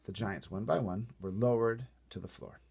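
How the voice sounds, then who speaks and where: A man reading a storybook aloud in an animated way, playfully breaking off for casual asides to wonder aloud. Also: fairly low pitch, normal speed, gravelly, breathy edge.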